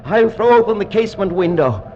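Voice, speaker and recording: An actor playing a haunted, gloomy aristocrat in an old-time radio drama, speaking slowly and hollowly. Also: male voice, high voice, animated, darkish tone, slight background noise. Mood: agitated. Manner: slow, hollow and brooding. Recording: muffled old radio recording.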